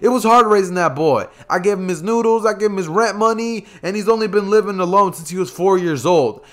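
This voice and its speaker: Brief: man voicing an old leader gone slangy, stammering, higher and louder than his own voice. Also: fairly quick, natural voice. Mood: sheepish. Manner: slangy bro talk, stammering excuses. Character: old male village leader, laid-back and sheepish.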